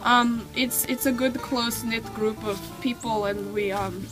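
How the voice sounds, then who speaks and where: A teenage girl acting a calmly sinister female mafia boss in a mock documentary interview, matter-of-fact and full of hesitant ums, recorded outdoors on a snowy deck with music behind. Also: a little high, measured pace, natural voice, rough recording. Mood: deadpan. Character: deadpan female mafia killer, cheerfully sinister.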